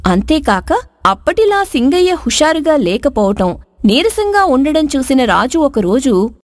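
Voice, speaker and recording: A woman storyteller with a high voice and lively, swinging intonation. She narrates a scripted moral tale. The recording is dry and close. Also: measured pace, dry recording.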